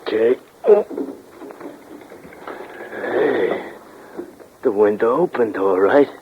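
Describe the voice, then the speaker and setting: Grumbling, low-voiced burglar sidekick. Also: male voice, lively intonation, very slow. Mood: skeptical. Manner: slangy, grumbling, sarcastic. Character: sarcastic, grumbling, slow-witted male burglar sidekick. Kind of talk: 1940s radio crime drama acting. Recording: dull, noisy vintage radio recording.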